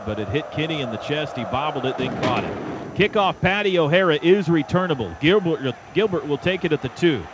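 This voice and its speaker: Male American radio play-by-play caller, higher voice, clipped football calls, shouts on big plays, dull sound over loud stadium noise. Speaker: play-by-play announcer.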